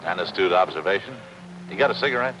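An actor playing a cynical, world-weary, money-minded middle-aged deep-sea diver, wisecracking in a 1950s television crime drama. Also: male voice, animated, fast, a bit bright, dull audio, slight background noise. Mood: sardonic. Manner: sardonic, wisecracking.

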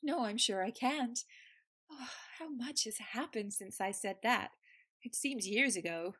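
A woman's voice: a little high, measured pace, a bit bright, breathy edge. An actress playing an earnest, loyal young woman in an audio drama, speaking heartfelt. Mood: wistful.

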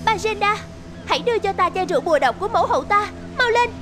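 A lovelorn, headstrong young princess in a cartoon fairy tale. Her regal, emotional voice is extremely high, with clear music behind. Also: animated, quick pace. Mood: desperate, demanding.

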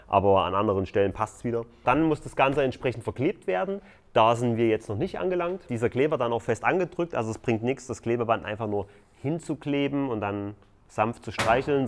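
A man on a tiny house building site, explaining construction steps in a loose, conversational way. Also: medium pitch. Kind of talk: casual explanatory vlog.

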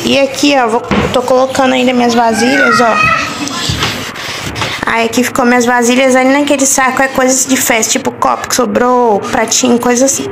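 A woman's voice: fairly high pitch, flat delivery, fairly quick, vocal fry, noisy background. A young woman casually chatting to viewers while tidying her home kitchen, pointing things out one by one on a handheld phone. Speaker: home vlogger. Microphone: handheld phone camera.

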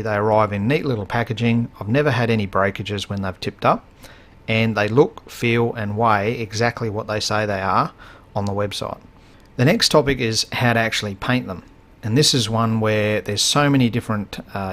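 A man's voice: medium pitch, a bit bright. A man in his thirties with an Australian accent, hosting a fishing channel in casual how-to vlog talk, plain-spoken with frequent fillers, filmed in his home workshop.

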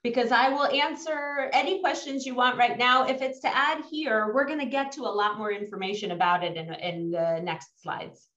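A high-voiced woman with a New York accent leads an online workshop as a coach. She is emphatic and self-assured. The sound is roomy and distant, as on a video call. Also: moderate pace, few pauses, fairly bright tone. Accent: New York American. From New York, United States. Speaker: executive coach leading a workshop. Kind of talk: webinar presentation to participants. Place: indoor room on a video call. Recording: dull, roomy, distant webinar audio.

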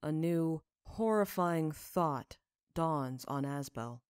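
Woman reading a story audiobook style, low voice, really dry close sound. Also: expressive, takes it slowly, a little mellow, clean tone, no room sound. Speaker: fan fiction narrator.

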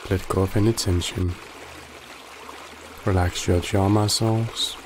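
A man guiding a body scan meditation in a calm, gently instructive way with long pauses. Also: sits low, background noise. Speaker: meditation guide. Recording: loud running water behind the voice. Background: trickling stream water ambience.

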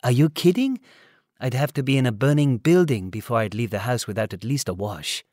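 A man's voice: medium pitch, expressive, brisk, a little mellow, dry recording, clean studio sound, close to an everyday voice. A man voicing a frank, chatty teenage girl in an audiobook story. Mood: incredulous. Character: lively, outspoken teenage girl, teasing and frank.

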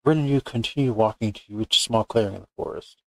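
Fast-reading man narrating a story game. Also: on the low side, darkish tone, no echo, rough recording. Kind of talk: second-person story narration read aloud.

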